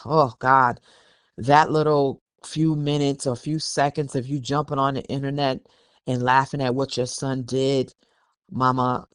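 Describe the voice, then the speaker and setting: A man's voice: medium pitch, smooth, dry recording, studio quality. A man with an American accent hosting a podcast, giving opinionated conversational commentary in an emphatic, admonishing way and repeating phrases for stress. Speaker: podcast host.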